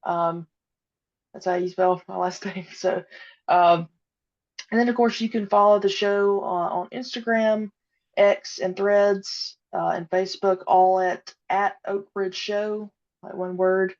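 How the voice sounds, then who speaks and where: On slightly muffled, lo-fi audio, a woman with a medium-pitched voice chats as a podcast guest. She is modest and a little hesitant, talking in a casual interview style. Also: steady tone, measured pace, a little mellow.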